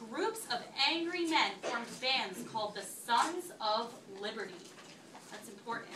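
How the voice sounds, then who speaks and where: A woman teacher reading aloud to a class in an instructive way, pausing to check understanding with questions. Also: on the high side, animated, sharp tone. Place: school classroom. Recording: echoey classroom, distant mic, faint noise.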